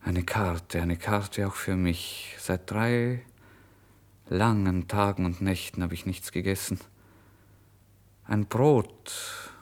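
A deep-voiced, feverish young writer tells his own story in a literary radio drama. He is proud, hungry and unsteady, with a theatrical delivery that moves between hushed confiding and exclamation. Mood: desperate, proud. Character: starving, feverish, proud young male writer, giddy and erratic. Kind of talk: first-person literary radio drama monologue.

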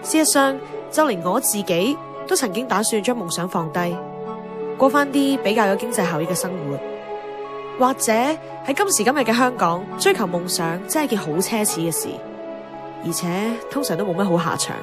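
An actress playing an idealistic, earnest radio host with a Hong Kong accent, delivering a reflective scripted monologue in an audio drama. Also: female voice, mid-range voice, lively intonation, normal speed, music in the background, close to an everyday voice. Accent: Hong Kong Cantonese.

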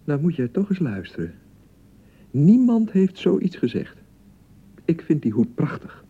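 An actor with a Dutch accent playing a young newlywed husband in a radio drama, placating and easily exasperated, with a hesitant way of talking. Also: male voice, on the high side, sing-song, a little slow, mellow, husky, highs cut off, exaggerated voice. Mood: exasperated, defensive. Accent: Dutch (Netherlands). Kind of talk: naturalistic radio drama acting.

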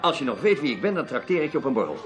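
A man playing a self-controlled, brisk newspaperman who takes charge in a radio drama, speaking with deliberate authority. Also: mid-range voice, big pitch swings, faint music behind, lightly acted. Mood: coolly casual. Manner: commanding, deliberate.

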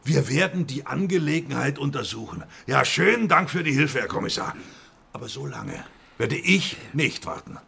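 An actor playing an irascible, aggrieved boss of a poultry megastore in a radio crime drama, blustering and indignant. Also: male voice, mid-range voice, very animated, moderate pace, gravelly, pushed and bright, breathy edge, exaggerated voice. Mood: indignant, threatening.

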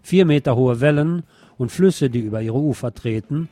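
A man's voice: medium pitch, normal speed, dark tone, no room sound. A man presenting scripted magazine news on the radio, with a wry, ironic touch in his delivery.

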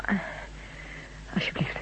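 An actress playing a cautious, concerned doctor and questioning companion in a science-fiction radio drama. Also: female voice, takes it slowly, whispered, natural voice. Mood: puzzled. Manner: earnest, questioning. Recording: dull lo-fi radio recording, humming noise.

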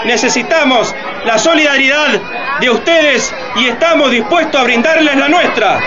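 An actor playing an impassioned, defiant president, delivering a declamatory, emphatic political speech in a radio drama. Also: male voice, very high-pitched. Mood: rousing, solemn. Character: impassioned male president rallying his nation in a defiant speech. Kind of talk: radio theatre political oratory. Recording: dull lo-fi, loud crowd and noise.

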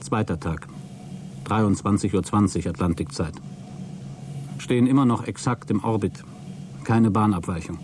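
A man's voice: lowish voice, moderate pace, long pauses, background noise, natural voice. An actor playing a wry male astronaut in a radio play, giving clipped, matter-of-fact flight reports.

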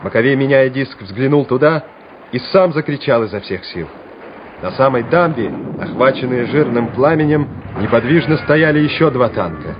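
A man's voice: mid-range voice, lively intonation, moderate pace, noisy background. A man narrating a radio play in a dramatic, scripted storytelling style. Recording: dull old radio drama recording. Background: music.

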